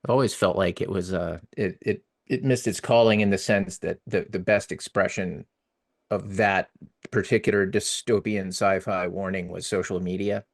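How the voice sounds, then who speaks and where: A man's voice: sits low, normal speed. A man on a podcast panel giving casual fan commentary in a slangy, playful way. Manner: animated, playfully incredulous, slangy.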